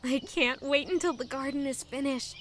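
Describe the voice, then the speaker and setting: A woman's voice: high-pitched, normal speed, a little hiss or hum, natural voice. A woman playing an earnest young lady-in-waiting with a secret past in an audio drama. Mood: bright, eager. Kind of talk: audio drama dialogue and inner monologue.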